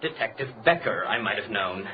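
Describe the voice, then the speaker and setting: A man's voice: fairly low pitch, expressive, a little fast, lo-fi sound, over loud music, natural voice. A man playing an obsessive, resentful grown son in a radio drama, intense and aggrieved. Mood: sullen.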